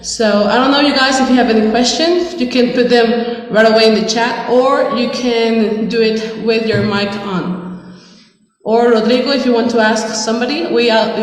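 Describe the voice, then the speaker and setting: Woman moderating, in a reverberant hall. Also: a little high. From Mexico. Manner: rambling, chatty, fillers. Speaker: teacher and moderator. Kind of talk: moderating an online colloquium. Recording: very echoey hall.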